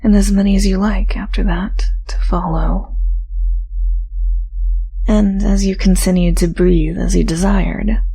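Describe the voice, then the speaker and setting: A woman guides a hypnosis induction in a soft, slow voice that keeps dipping into breathy whispers. Her tone carries a gravelly fry at a middle pitch. A faint hiss sits under the recording. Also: rough recording. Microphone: studio mic, binaural.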